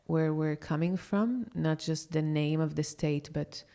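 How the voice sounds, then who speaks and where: Woman guest from Israel, yoga teacher, smooth creaky low voice, pauses a lot. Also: dark tone. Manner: thoughtful, hesitant, many fillers. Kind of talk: casual interview talk.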